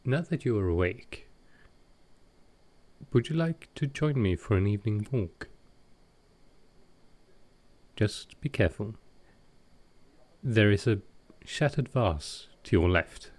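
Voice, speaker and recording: A man playing a gentle, awkward male vampire in an intimate comfort roleplay, speaking tenderly and haltingly. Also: fairly low pitch, expressive. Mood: apologetic, caring. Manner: halting, hesitant, tender, soft-spoken.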